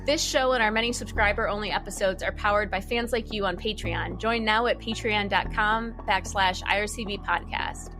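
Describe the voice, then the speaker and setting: A woman's voice: mid-range voice, moderate pace, few pauses, over music. A woman co-hosting a podcast, talking informally as if thinking aloud. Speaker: podcast co-host. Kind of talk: casual podcast chat.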